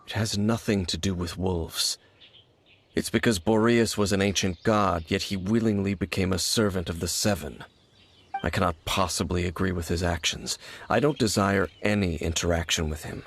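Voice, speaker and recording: An actor playing an aloof, brooding warrior in a video game, speaking in a grave, measured, guarded way over music. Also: male voice, low-pitched, gravelly, a little hiss or hum. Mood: aloof warning. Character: brooding, aloof male warrior with ancient grudges. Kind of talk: video game dramatic acting.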